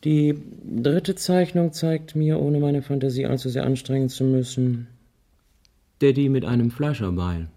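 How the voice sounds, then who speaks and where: An actor playing a wry, analytical husband in a radio crime drama, reasoning aloud in a deliberate, musing way. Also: male voice, mid-range voice, mellow, close to an everyday voice. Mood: wry, grave. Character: wry analytical husband puzzling out a child's grisly drawings.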